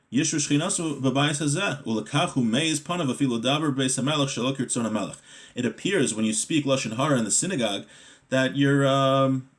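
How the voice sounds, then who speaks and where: A man giving a Torah lesson as a religious teacher, reading Hebrew text and explaining it conversationally in English, often checking in with a short question. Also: medium pitch.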